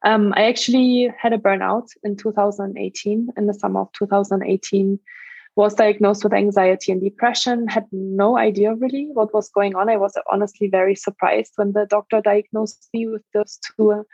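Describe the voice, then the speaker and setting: German-accented woman in her thirties, guest trainer, flat delivery, dry dull sound. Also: mid-range voice, normal speed, vocal fry, slightly rough, no echo. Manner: earnest, many fillers, occasional laugh. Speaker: guest, stress management trainer. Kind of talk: podcast interview.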